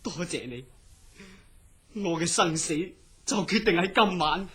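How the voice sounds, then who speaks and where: A man's voice: a little high, lively intonation, a little slow, fairly bright tone, slightly rough, heavily acted. A man plays a terrified mental patient in a horror radio play. He pleads in an overwrought way, haunted by dread, with a Hong Kong Cantonese accent. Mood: fearful, subdued. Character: terrified male mental hospital patient dreading his nightmares.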